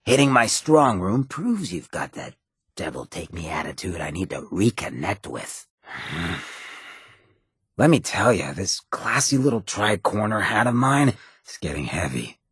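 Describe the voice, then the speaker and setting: An actor playing a swaggering, irreverent outlaw drifter in a video game, with a raspy, gravelly voice and a slangy, sardonic drawl. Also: male voice, deep voice, big pitch swings, a little slow, a bit bright, no room sound, studio quality, heavily acted. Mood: weary, resolute. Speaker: video game companion character. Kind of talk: scripted game dialogue, wry banter.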